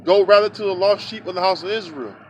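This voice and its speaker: High-voiced man preaching outdoors. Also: fast talker, lo-fi sound, slight background noise. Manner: reads verses aloud then explains them in blunt street talk; many filler sounds and restarts. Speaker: street preacher. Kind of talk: scripture teaching.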